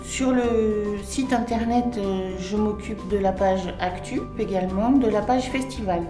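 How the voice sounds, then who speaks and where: A woman with a mid-pitched voice introduces her tourist office role for a promotional video. The room echoes around her, and music plays clearly behind. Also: a little slow. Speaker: tourist office staff member presenting her job. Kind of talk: staff presentation in a promotional video.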